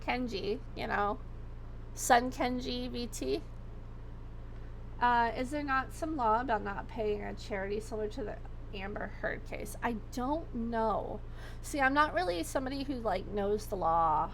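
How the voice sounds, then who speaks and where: A woman livestreamer chatting casually, her voice going hoarse as she rambles and swears freely. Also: fairly high pitch, unhurried, a little hiss or hum, low-quality recording. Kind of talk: casual chat.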